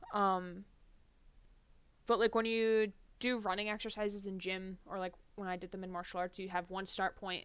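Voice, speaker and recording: Chatty young woman, crisp dry voice. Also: mid-range voice, fairly bright tone, dry recording. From New Jersey. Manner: chatty, rambling, many fillers. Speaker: streamer. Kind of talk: casual chat while gaming.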